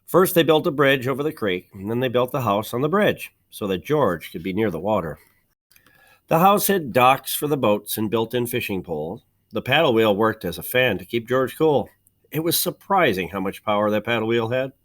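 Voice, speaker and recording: A man reading a children's picture book aloud in an expressive, measured storytelling manner, stressing key words. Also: medium pitch. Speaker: storybook reader.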